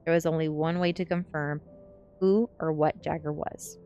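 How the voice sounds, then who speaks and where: A woman's voice: low-pitched, fairly even intonation, normal speed, warm tone, dull audio, faint music behind. A woman reading a first-person story aloud as ASMR narration. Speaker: streamer reading a novel aloud.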